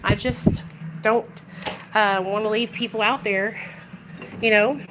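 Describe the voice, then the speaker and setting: A woman's voice: medium pitch, fairly even intonation, slow pace, fairly bright tone, rough recording. A woman vlogging about recovery, talking passionately and bluntly with a strong voice and free swearing. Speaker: vlogger speaking on addiction recovery. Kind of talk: casual direct-to-camera talk. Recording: clear background noise with clicks and kitchen clatter.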